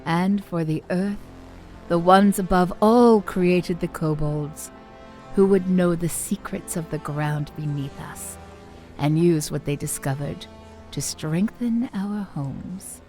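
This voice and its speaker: A woman playing a measured, cryptic lore-keeper in a fantasy audio drama, speaking in deliberate, formal storytelling. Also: lowish voice, dark tone, faint music behind. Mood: solemn. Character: measured, cryptic female lore-keeper challenging her pupils' histories.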